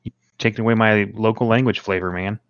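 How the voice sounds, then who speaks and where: Low gravelly laid-back man. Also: steady tone, fast talker, no room sound. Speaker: author of the story. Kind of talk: casual chat. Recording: dull, muffled sound.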